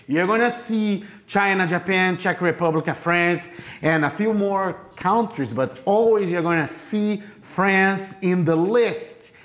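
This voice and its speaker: A man from Brazil, whose first language is Portuguese, speaking English with a Brazilian Portuguese accent as a missionary pastor giving a church presentation with self-deprecating jokes. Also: high voice.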